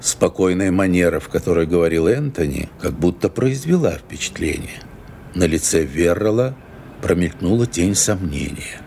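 A man's voice: deep voice, animated, moderate pace, gravelly, a little mellow, slight background noise. A man narrating an audio play as a composed literary storyteller.

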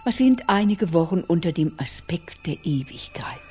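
An actress playing a portentous, soothing fortune teller in a radio crime play, with mystical, drawn-out delivery. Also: female voice, fairly low pitch, animated, a little mellow, lightly acted. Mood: soothing, mysterious. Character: theatrical, soothing female fortune teller reading cards.

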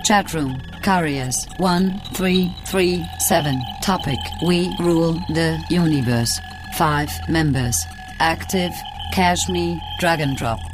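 An impassive female computer voice in a radio play. It announces chatroom status very slowly in a low register, piece by piece, with music behind. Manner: flat, segmented system announcements. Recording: music over the voice.

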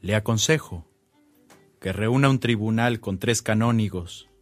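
A man playing a grave, cautious older church dignitary in a radio drama, formal and measured, with a Mexican accent. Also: lowish voice, no room sound, soft background music, close to an everyday voice. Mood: formal, guarded.

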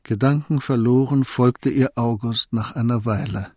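A man's voice: medium pitch, moderate pace, darkish tone, dry recording, clean studio sound, lightly acted. A man giving composed, literary storytelling narration in a radio drama.

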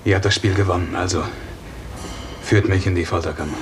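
An actor playing a proud, defiant captured young lover in a 1950s German radio play. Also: male voice, sits low, fairly even intonation, a little fast, natural voice. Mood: defiant pleading. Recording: noisy 1950s radio recording.

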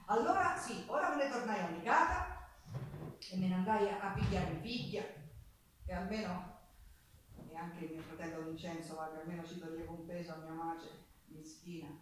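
An actress playing a poor, resilient Sicilian ex-prisoner who tells her story in a theatrical monologue, with a Sicilian accent and a slow, dramatic delivery. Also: fairly low pitch, slight background noise, close to an everyday voice. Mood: plain, resolute. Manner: slow, dramatic, pausing, repeating phrases. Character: Sicilian woman, poor ex-prisoner singer, proud, wounded, resilient. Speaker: stage actress. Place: theatre stage, live performance. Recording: echoey theatre, distant microphone.

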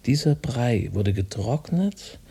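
A man acting a shaken radio journalist in a naturalistic radio play, speaking in a grave, deliberate, sardonic way. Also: medium pitch, very animated, mellow, slightly rough, heavily acted. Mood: grim, agitated. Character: shaken male radio journalist, bitterly recounting grim findings. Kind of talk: naturalistic radio drama dialogue.

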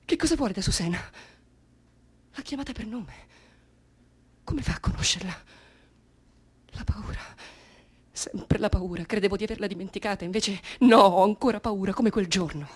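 An actress playing an anguished, defiant grieving mother in radio theatre, intense and theatrical, with a husky voice that often drops to a whisper. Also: medium pitch, normal speed, long pauses, close to an everyday voice. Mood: fearful. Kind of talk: dramatic radio theatre monologue.

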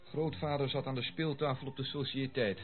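An actor playing a courteous but firm gentleman who speaks formally and corrects others in a radio play. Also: medium pitch, fairly even intonation, fairly quick, close to an everyday voice. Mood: grave, firm. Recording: muffled old radio recording, music behind.

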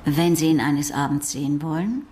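A woman's voice: sits low, moderate pace, dark tone, slight background noise, lightly acted. An actress playing an eccentric, self-assured woman scientist with a wry, teasing manner in a radio drama. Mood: musing. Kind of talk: radio crime drama dialogue.

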